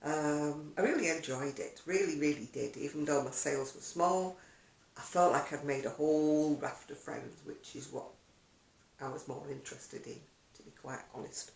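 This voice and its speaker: A woman's voice: deep voice, normal speed, vocal fry, darkish tone. An older woman chatting casually to camera as a video blogger, rambling along with self-deprecating asides in an echoey room. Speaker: video blogger and craft seller.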